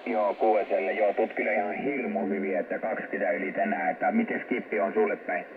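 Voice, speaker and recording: A man's voice: fairly low pitch, steady tone. Man on tinny, very noisy shortwave radio. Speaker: amateur radio operator. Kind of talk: casual ham radio contact. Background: loud radio static.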